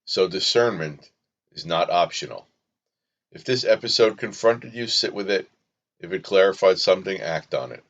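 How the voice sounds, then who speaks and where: A man giving scripted spiritual teaching as a podcast host, solemn and deliberate, in short emphatic phrases. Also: low-pitched, animated, fairly bright tone, muffled recording.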